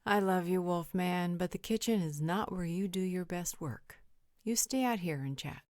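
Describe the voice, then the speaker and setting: Man putting on a high-pitched, helpful vampire healer woman. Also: normal speed, no echo, studio quality, lightly acted. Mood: affectionate teasing. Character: helpful, wry female vampire healer and part mage. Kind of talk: fantasy audio roleplay.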